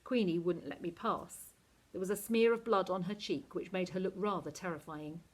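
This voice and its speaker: A woman's voice: on the low side, normal speed, poor audio. Woman reading a storybook aloud expressively. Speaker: teacher reading a novel aloud.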